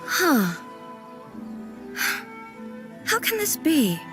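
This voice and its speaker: A woman's voice: sits high, wildly swooping pitch, over music, full character voice. A woman playing a regal, earnest, literal-minded ruler in a video game, speaking formally and inquisitively. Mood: puzzled. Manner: formal, measured, puzzled.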